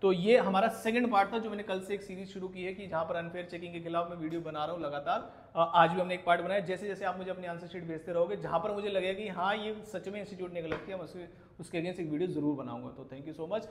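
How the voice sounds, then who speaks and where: A man's voice: high-pitched, fairly even intonation, fast talker. An Indian man who teaches, ranting indignantly to viewers in an emphatic, scolding way with rhetorical questions. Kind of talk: indignant video rant to viewers. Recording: echoey room.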